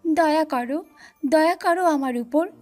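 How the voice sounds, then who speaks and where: Woman as a high-pitched frightened little boy. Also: unhurried, soft background music. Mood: pleading. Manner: loud, high, pleading. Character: naughty, frightened young boy pleading for his life. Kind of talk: animated children's story.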